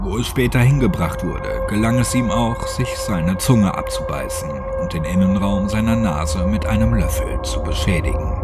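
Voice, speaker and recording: A man narrating a scripted horror audiobook in a measured, documentary-like storytelling manner. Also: sits low. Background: background music.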